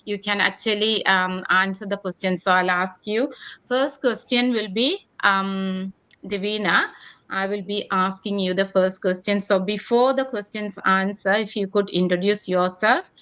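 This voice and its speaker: A woman hosting an online panel discussion, speaking in a halting way that often circles back over phrases. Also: medium pitch, steady tone, a bit bright, smooth. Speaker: host.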